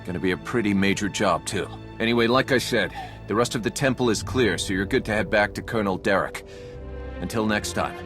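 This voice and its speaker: A man's voice: on the low side, a little fast, lightly acted. An actor voicing a casual, wry male soldier in a game. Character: easygoing male Republic soldier. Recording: game audio with music.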